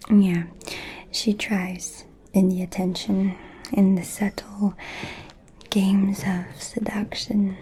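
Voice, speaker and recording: Woman acting as an ancient vampire lady, asmr roleplay, breathy mellow whisper, super slow n seductive, kinda menacing. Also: mid-range voice, little pitch movement, a little hiss or hum, lightly acted, low-quality recording. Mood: amused, conspiratorial. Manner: slow, deliberate, pausing, intimate.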